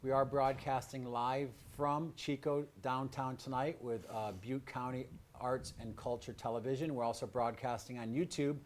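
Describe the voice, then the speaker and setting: A man hosting a live arts broadcast in a casual, conversational way. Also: medium pitch. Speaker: host of a live arts radio and TV show. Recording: live broadcast with background noise.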